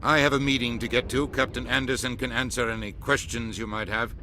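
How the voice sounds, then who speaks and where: A man's voice: mid-range voice, level tone, a little fast, bright, forceful tone, a little hiss or hum, lightly acted. A man playing a stern, demanding human diplomat in a video game, formal and authoritative. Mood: reproving. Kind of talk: dramatic video game dialogue.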